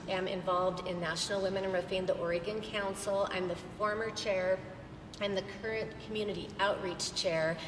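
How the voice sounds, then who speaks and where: A middle-aged American woman speaking informally as a panelist into an event microphone. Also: distant mic. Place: large event hall. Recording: echoey hall microphone with noise and rumble.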